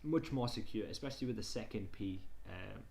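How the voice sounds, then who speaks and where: A man's voice: lowish voice, lively intonation, brisk, a little mellow. A man tutoring in an informal online lesson, reading slides aloud and commenting in a hesitant, conversational way, often repeating himself. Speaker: tutor presenting a digital skills session. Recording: clear background noise.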